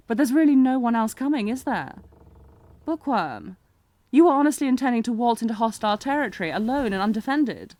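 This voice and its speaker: A woman's voice: fairly high pitch, no room sound. A woman playing a sardonic, protective knight bodyguard in a fantasy audio roleplay, with a taunting, commanding, intense manner. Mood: mocking, exasperated. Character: sardonic, protective female knight bodyguard, old academy rival. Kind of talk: scripted fantasy audio roleplay, acted one-sided dialogue.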